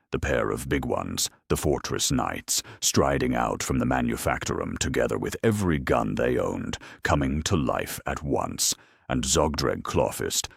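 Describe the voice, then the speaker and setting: A man narrating a grimdark war story in a grave, measured, dramatic way with wry deadpan humour. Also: very deep voice, creaky, slightly rough, no room sound, studio quality.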